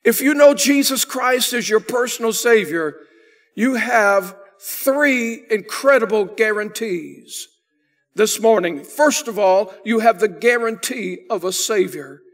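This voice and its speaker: An older pastor with a notably high-pitched voice delivers a sermon in a church sanctuary. He preaches emphatically from the pulpit, building in force on his key points. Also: lively intonation, takes it slowly. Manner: emphatic preaching, swelling louder on key phrases.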